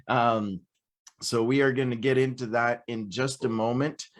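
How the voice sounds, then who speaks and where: A man's voice: mid-range voice, steady tone, unhurried. A man casually hosting an online group on a video call, with an upbeat, friendly and encouraging way of talking. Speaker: host of an online Bible read-along. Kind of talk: casual livestream chat. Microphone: video call livestream.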